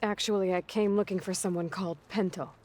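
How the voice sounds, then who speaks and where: An actress playing a determined, self-reliant young warrior heroine in a video game, direct and questioning. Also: female voice, medium pitch, fairly even intonation, a little fast, a little mellow, natural voice. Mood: curious. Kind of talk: video game character acting.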